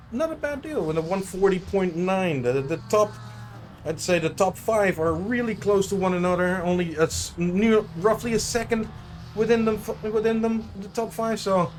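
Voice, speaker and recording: A Dutch-accented man whose voice sits a touch high for a man, commentating a sim race from a home streaming desk. He talks in a loose, rambling way, restarting phrases and fumbling names as he goes. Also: a little hiss or hum. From Netherlands. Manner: chatty, self-correcting, unsure of name pronunciations. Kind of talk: live sim racing commentary.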